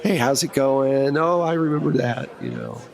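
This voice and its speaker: A man's voice: medium pitch, huge pitch swings, moderate pace, creaky, a little mellow. A man voicing an eccentric, talkative male government agent in a tabletop game, offhand and wry.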